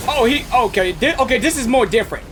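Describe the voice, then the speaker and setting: Excitable gamer reacting live, bright lively voice, repeats stuff, faint music behind. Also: mid-range voice, fast talker. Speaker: gaming streamer. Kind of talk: casual live game reaction commentary.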